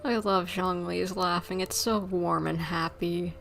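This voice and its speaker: A woman livestreaming a game, chatting playfully and reading dialogue aloud with some halting. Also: lowish voice, steady tone, creaky, fairly bright tone, a little hiss or hum. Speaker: game streamer. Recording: keyboard typing, game sound behind. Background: typing and game ambience.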